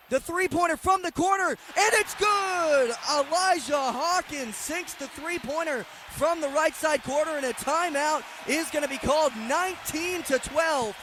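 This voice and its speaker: A man with a very high voice calls a basketball game live for radio. He tracks each move in clipped phrases, with faint arena noise behind him. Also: fairly bright tone. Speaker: play-by-play radio commentator. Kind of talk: live basketball play-by-play commentary.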